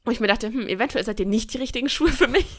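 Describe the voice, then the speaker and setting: A woman's voice: fairly high pitch, rapid-fire. A woman telling personal stories in a casual, joking and colloquial way, as a podcast host. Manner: chatty, colloquial, expressive and joking. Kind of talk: casual chat, personal storytelling.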